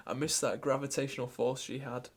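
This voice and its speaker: A man's voice: medium pitch, level tone, fairly quick, husky, close to an everyday voice. A man playing a bitter, grieving grandchild in a raw, conversational film monologue. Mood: resigned grief. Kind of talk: dramatic monologue in a short film.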